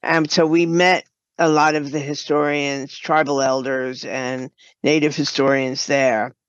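A woman speaking as a writer in a podcast interview, explaining her work haltingly with broken-off sentences. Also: sits low, little pitch movement, clean tone, dry recording, muffled recording. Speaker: guest, writer and audio drama producer.

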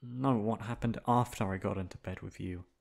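A man's voice: on the low side, steady tone, creaky, a little mellow. A man acting in an ASMR roleplay as a flustered, dominant young best friend, hesitant at times and blunt at others. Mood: playful, gentle. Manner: hesitant with pauses, turning bossy and blunt. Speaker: ASMR voice actor.

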